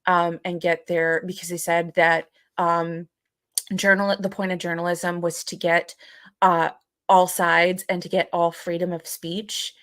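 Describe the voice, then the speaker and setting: A young adult woman co-hosting a podcast in reflective, casual conversation, haltingly repeating phrases as she thinks. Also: fairly low pitch, flat delivery, a bit bright, no echo, studio quality. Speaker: podcast co-host.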